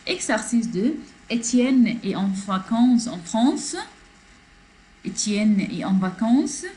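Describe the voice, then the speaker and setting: A woman teaching French in an online class, reading a text aloud and explaining it slowly, switching between French and English. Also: mid-range voice, animated, warm tone. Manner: switches between French and English, slow, repeating phrases for learners. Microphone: computer mic in a video class.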